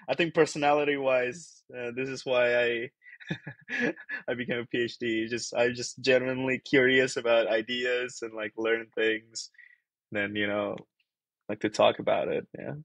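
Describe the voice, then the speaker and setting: A man's voice: mid-range voice, fairly even intonation, unhurried, a bit bright, no room sound. A young man from Indonesia, a PhD researcher talking casually on a video call, rambling and thinking aloud with many fillers and restarts. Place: small bedroom-like room.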